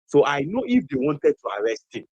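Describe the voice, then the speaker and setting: A man's voice: a little high, big pitch swings, a little fast, a little mellow, no echo, muffled recording. A man with a Nigerian accent, arguing heatedly as a guest on a TV debate, repeating phrases for emphasis. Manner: impassioned, repeats phrases for emphasis, many fillers. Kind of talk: heated political argument.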